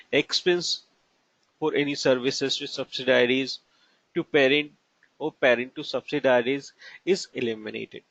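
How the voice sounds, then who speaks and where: A man with an Indian accent gives an instructional lecture in a slightly high voice. He moves haltingly, phrase by phrase, as he explains each example. The recording is dry and close, with a dull, lo-fi sound. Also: a bit bright, no echo. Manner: halting, broken into short phrases. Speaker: trainer.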